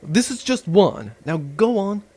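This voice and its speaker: A man's voice: high-pitched, lively intonation, unhurried, mellow, slight background noise. A man playing a scheming villain baron in an audio adventure, condescending, menacing and mockingly polite. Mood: menacing, scornful. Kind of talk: audio adventure drama acting.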